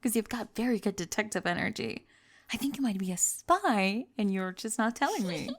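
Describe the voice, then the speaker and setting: A woman's voice: mid-range voice, lively intonation, moderate pace. A woman acting a famous young pop star on a date in an ASMR roleplay, confiding and hesitant, kind and playful but world-weary. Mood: sweet, teasing. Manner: confiding, hesitant, playful, trailing into soft murmurs. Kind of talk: intimate ASMR roleplay audio, one-sided date conversation.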